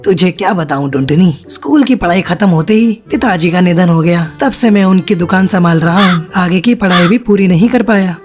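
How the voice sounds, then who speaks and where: A young male cartoon pigeon with a rather low voice, acted by a woman. He is downbeat and matter-of-fact, with faint music behind. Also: normal speed, hardly any pauses, a little mellow, natural voice. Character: young male pigeon, plain-spoken childhood friend. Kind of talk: Hindi cartoon character dialogue.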